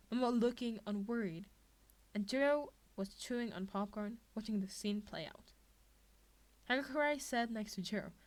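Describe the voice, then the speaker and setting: A woman reading a scripted fan fiction story aloud as its narrator. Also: mid-range voice. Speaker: podfic reader.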